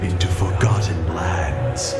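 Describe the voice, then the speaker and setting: Deep, echoing, ominous fantasy narrator. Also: male voice. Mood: ominous warning. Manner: portentous, deliberate. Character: grave male narrator of a dark fantasy, solemn and foreboding. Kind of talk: dramatic cinematic narration. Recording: echoing voice under loud music.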